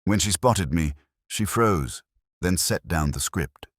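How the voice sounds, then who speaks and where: A man's voice: low voice, expressive, slow pace, no room sound. A man reading a scripted hardboiled detective story as narrator, in a wry, dry way. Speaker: audiobook narrator.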